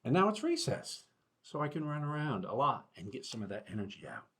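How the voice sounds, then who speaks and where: A man voicing an excitable, fidgety young rabbit pupil with an eager manner in a storybook. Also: mid-range voice, brisk, pitched above the performer's own voice. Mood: excited.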